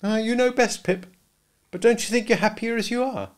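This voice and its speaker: Man doing a young village woman, quiet, mild, sorrowful, high soft voice, storybook reading. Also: moderate pace. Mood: gentle.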